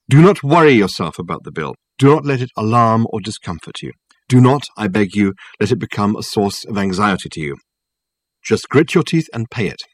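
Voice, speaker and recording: A man's voice: fairly low pitch, no echo. A man voicing a grandiloquent, unflappable male detective who talks soothingly and floridly. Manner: florid, soothing, mock-sorrowful.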